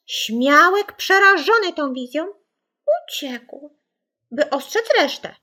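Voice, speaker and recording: A woman voicing an enigmatic young girl who tells spooky legends in a drawn-out, dramatic children's audiobook style. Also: very high-pitched, sharp tone, pitched above the performer's own voice, exaggerated voice.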